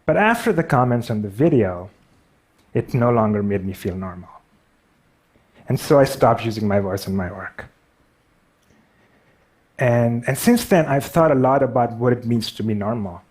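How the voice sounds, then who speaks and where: A man's voice: mid-range voice, animated, moderate pace, pauses often, a little mellow. A man with a Pakistani accent tells personal stories as a public speaker, in a self-deprecating manner that halts in places. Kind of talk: personal storytelling talk to an audience.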